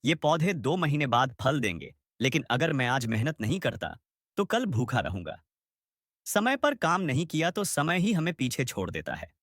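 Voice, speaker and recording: Man narrator as kindly old male farmer, storybook elder, instructive, lively intonation, dry sound. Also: medium pitch, normal speed, no room sound.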